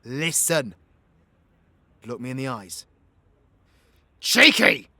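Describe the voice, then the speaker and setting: A man's voice: mid-range voice, big pitch swings, unhurried, a bit bright, no room sound. A British man playing a cocky, foul-mouthed but protective supervillain in an audio roleplay, with brash, teasing, slangy delivery. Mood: angry, exasperated. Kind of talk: audio roleplay acting addressed to the listener.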